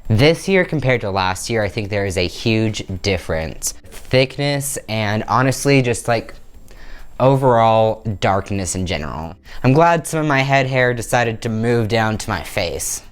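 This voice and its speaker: A man's voice: on the low side, a little slow, smooth. A young man in his twenties talking candidly to camera in a casual vlog, recorded on a lapel mic in a small bedroom. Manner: upbeat and candid, animated hand gestures. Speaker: vlogger. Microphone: clip-on lapel mic.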